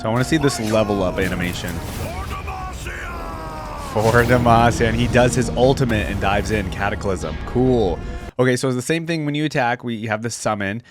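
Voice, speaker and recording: A man's voice: medium pitch, normal speed, music in the background. A man hosting a gaming reaction video, chatting informally and thinking aloud as he reads game text and comments on it.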